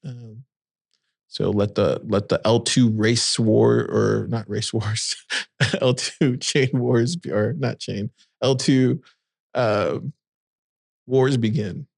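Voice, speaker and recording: A man co-hosting a casual podcast, reading posts aloud and chatting in a relaxed, rambling way. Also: mid-range voice, slow pace, creaky, no room sound, clean studio sound. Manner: relaxed, rambling, reads quotes aloud with fillers. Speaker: podcast co-host. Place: cold room by a window.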